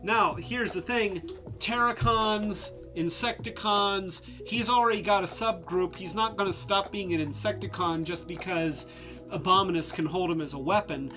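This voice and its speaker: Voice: medium pitch, normal speed, sharp tone, faint music behind. A live-stream host reviewing toys in a casual, rambling way, congested and losing their voice, a bit tipsy from drinks. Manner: rambling, many fillers and restarts.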